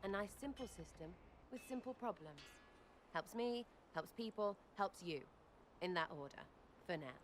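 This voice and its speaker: An actress playing a brash, irreverent young elf archer in a video game, with a blunt, slangy manner. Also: female voice, mid-range voice, expressive, normal speed, slight background noise, lightly acted. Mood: cheeky, sardonic. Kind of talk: video game character acting.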